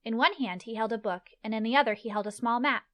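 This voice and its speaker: A woman's voice: fairly high pitch, quick pace, fairly bright tone. A woman reading scripted fantasy story narration as the narrator of an audio play.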